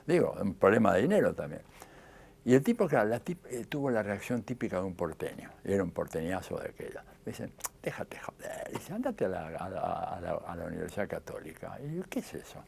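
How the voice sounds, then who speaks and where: A man's voice: sits low, very animated, brisk, vocal fry, a little mellow, a little hiss or hum. An older man with a Rioplatense Argentine accent, telling his story in an interview and acting out the dialogue he quotes.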